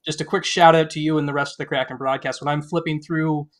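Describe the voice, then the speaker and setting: A man's voice: fairly high pitch, steady tone, quick pace, fairly bright tone. A man hosting a sports podcast, asking long-winded interview questions that he rephrases as he goes. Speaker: podcast host.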